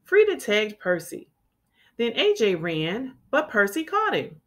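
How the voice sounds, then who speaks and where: Slow, expressive woman reading to young children. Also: fairly high pitch. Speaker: teacher reading picture books aloud for children. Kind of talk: expressive children's storybook read-aloud.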